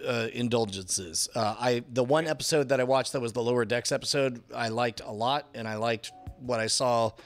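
A man's voice: medium pitch, moderate pace, hardly any pauses, soft background music. A man co-hosting a podcast in casual chat, hesitant and wry, with many fillers. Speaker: podcast co-host.

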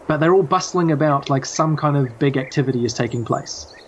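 A man's voice: on the high side, brisk, muffled recording, slight background noise. A man narrating a tabletop game as game master, describing scenes in a hesitant, improvised way.

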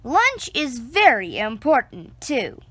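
Lively, slow-reading woman storyteller. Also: fairly high pitch, breathy edge, no room sound. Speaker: picture book reader. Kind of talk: reading a children's book aloud.

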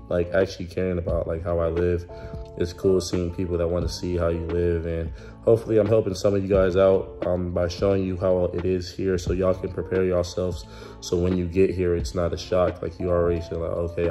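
A young man vlogging casually to his phone in a hotel room, with a relaxed slangy delivery full of ums. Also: low voice. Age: young adult. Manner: laid-back slangy talk with many ums. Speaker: college basketball player vlogger. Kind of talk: casual vlog to camera. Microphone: phone held at arm's length.

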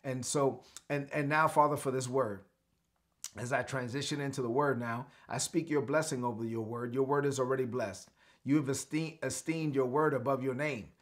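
Middle-aged pastor from Brooklyn, daily livestream sermon, earnest and declarative, repeats phrases for viewers, seated home-studio setup. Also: male voice, medium pitch, fairly even intonation, normal speed. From Brooklyn, New York. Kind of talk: live-streamed daily sermon. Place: home studio with lit shelves.

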